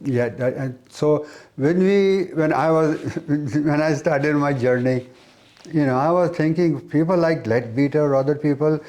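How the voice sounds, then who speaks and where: Mellow, higher-pitched man explaining spiritual ideas. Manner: halting, repetitive phrasing. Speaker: spiritual teacher being interviewed. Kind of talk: explanatory spiritual talk in an interview.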